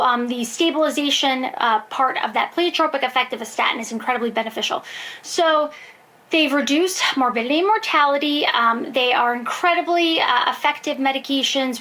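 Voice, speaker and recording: A woman's voice: sits high, pushed and bright, rough recording. An American woman, a cardiologist, explaining medicine fluently in an interview, with frequent ums. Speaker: cardiologist guest. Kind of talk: expert interview answers.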